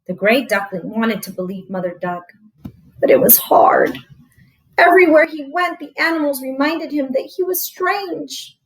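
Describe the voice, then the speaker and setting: A woman reading a storybook aloud to children as a teacher, expressive and explaining things simply. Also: high-pitched, normal speed, rough recording. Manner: expressive, explains to young listeners.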